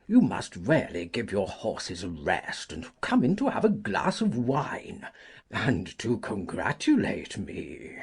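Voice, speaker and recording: A woman voicing a blustering, pompous old gentleman in an audiobook, with a rough voice and a hearty, gleeful manner. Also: extremely low pitch, wildly swooping pitch, slow, voice pushed down, full character voice. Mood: jovial. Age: older. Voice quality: husky, rough. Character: blustering, gleeful, grey-whiskered old gentleman fond of lawsuits.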